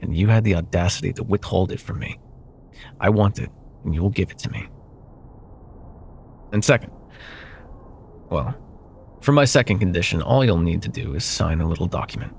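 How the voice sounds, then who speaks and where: A man playing a smug, possessive corporate CEO and jilted ex-lover in an intimate ASMR roleplay, with silky condescension and pointed pauses. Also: low voice, vocal fry, a little hiss or hum. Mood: silky, bargaining.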